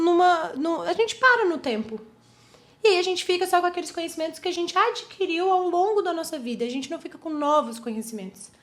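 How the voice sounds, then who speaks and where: Young brazilian woman just talking, high bright voice, lots of restarts and "né". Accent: Brazilian Portuguese. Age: 20s. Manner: chatty, animated, many fillers and restarts. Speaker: podcast host. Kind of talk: reflective solo podcast talk.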